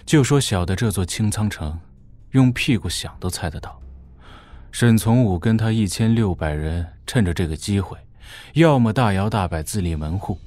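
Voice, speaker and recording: A man's voice: on the low side, warm tone. An actor playing a shrewd, obsequious warlord who reports deferentially and colloquially to his overlord in an audio drama. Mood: servile, candid.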